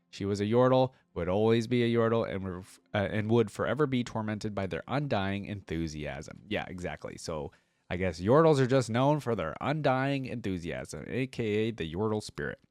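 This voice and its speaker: A man's voice: fairly low pitch, no room sound. Man reading and reacting, close and dry. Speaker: reaction video host. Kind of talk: casual commentary and story read-aloud.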